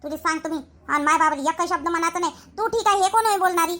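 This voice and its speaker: A woman's voice: extremely high pitch, rapid-fire, bright, forceful tone. A woman playing a fiery young sister who scolds and threatens. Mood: scolding. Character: fiery young sister loyal to her parents. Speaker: actor in a family drama skit.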